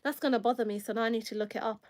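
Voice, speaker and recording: A woman's voice: on the high side, steady tone, rapid-fire, a little mellow. Woman, Kenyan accent, livestream host, chatty, self-correcting, laughs a lot, tired. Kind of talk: casual livestream chat.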